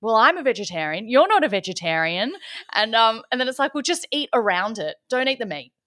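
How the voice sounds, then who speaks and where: A woman's voice: fairly high pitch, big pitch swings, fairly quick, sharp tone, no room sound. An Australian woman co-hosting a casual chat about books and food, chatty and enthusiastic, often laughing. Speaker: podcast co-host.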